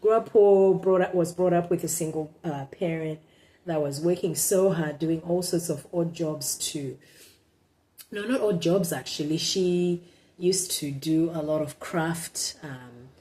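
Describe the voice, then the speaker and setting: A woman's voice: low voice, measured pace, dark tone. A woman from Zimbabwe with a Zimbabwean accent, whose first language is Shona, reading her own blog posts aloud in a casual vlog with a hesitant, reflective manner. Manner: hesitant, many ums and restarts, reflective.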